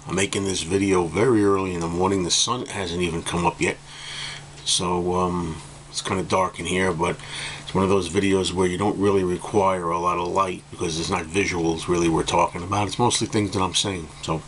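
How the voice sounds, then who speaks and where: A middle-aged man speaks in a low voice that is a little hoarse, as if he has just got up. He rambles casually to the camera, hesitating and circling back over his points. Faint noise sits behind him in a room at home. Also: fairly even intonation, fairly quick. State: slightly hoarse, just woken up. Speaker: music vlogger reviewing albums. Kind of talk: casual opinion talk to camera. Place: indoor room with bookcases at home.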